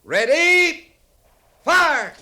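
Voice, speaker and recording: An actor playing a raw young cavalry lieutenant, earnest, formal and dutiful, in a 1950s radio western. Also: male voice, extremely high pitch, big pitch swings, very slow, heavily acted. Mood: shouted commands. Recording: noisy 1950s radio broadcast recording.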